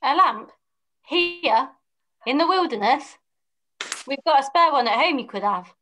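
A woman's voice: high voice, lively intonation, unhurried, a bit bright, slight background noise. A woman playing a plucky, wary village boy in an amateur pantomime read-through, questioning and theatrical. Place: indoors at home, on a video call.

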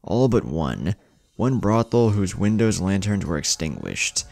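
A man's voice: deep voice, very animated, unhurried, vocal fry, darkish tone. A man narrating a scripted fan fiction story in a dramatic storytelling manner.

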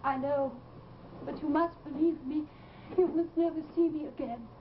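Casting: actress as haunted young woman, high plaintive voice, earnest 1950s TV horror melodrama, muffled soundtrack. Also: moderate pace, close to an everyday voice. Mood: desperate. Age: young adult. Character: tormented, fearful young woman who believes she is possessed. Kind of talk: 1950s live television melodrama acting. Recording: muffled, noisy old TV soundtrack.

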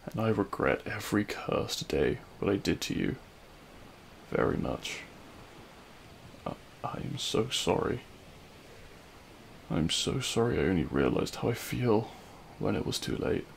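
A man playing a remorseful, self-loathing supervillain in an intimate audio roleplay, speaking in a halting, anguished way. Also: fairly low pitch, sing-song, creaky, slight background noise, exaggerated voice. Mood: anguished regret. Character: remorseful male supervillain confessing love at a grave.

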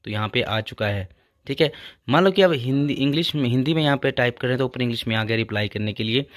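A man's voice: medium pitch, no echo, clean studio sound. Young man explaining phone tricks step by step. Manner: repetitive filler phrases, explains step by step. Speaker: tech channel host. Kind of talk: casual phone tutorial.

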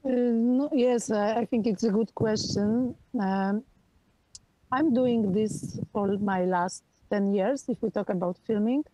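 A woman in her forties from Poland with a Polish accent, a film director talking over a video call from outdoors with an earphone mic, pausing often to search for words. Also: mid-range voice, dark tone, low-quality recording. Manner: halting, searching for words with many fillers. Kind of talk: remote interview. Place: outdoors on a hillside.

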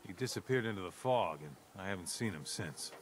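A man's voice: low voice, lively intonation, brisk, very noisy. An actor playing a guarded, curt male shopkeeper in a video game, wary and clipped. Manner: wary, clipped, then remorseful.

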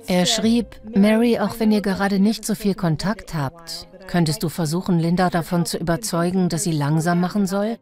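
A woman's voice: on the low side, moderate pace, few pauses, darkish tone, soft background music, close to an everyday voice. A woman voicing a documentary interviewee who recounts a case in dubbed testimony. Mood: earnest recounting.

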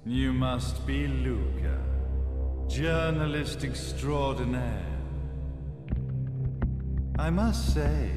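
An actor playing a grandiose, coolly menacing zealot sage in a video game, pompous and theatrical in his phrasing. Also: medium pitch. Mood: mocking, coolly menacing. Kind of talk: dramatic video game villain monologue. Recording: echoey hall, long reverb, music behind.

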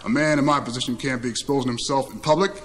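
A man's voice: mid-range voice, steady tone, racing, a bit bright, lightly acted. A man voicing a sickly, boastful old tyrant in a satirical story, chummy and slangy. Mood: boastful, griping. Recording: clearly noisy recording.

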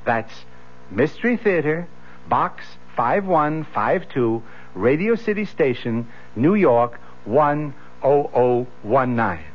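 A man addressing listeners as a radio producer in a measured, courteous scripted announcement. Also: mid-range voice, sing-song. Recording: dull vintage radio recording.

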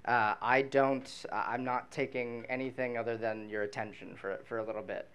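A man in his thirties giving a casual, halting answer on a live panel into a handheld microphone. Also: medium pitch, fairly even intonation, a bit bright, smooth. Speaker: panelist, magician and mentalist. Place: small dim event venue stage.

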